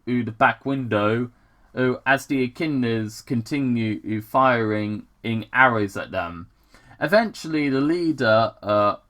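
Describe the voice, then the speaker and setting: A host reading fan fiction aloud in a casual dramatic style, halting and excitable, breaking off into rambling, self-deprecating commentary. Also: medium pitch.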